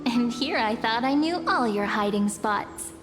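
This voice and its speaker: A woman voicing a sweet, gently teasing young village girl in a fantasy game. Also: on the high side, expressive, a little slow. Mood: gentle, teasing. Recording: long reverb under music.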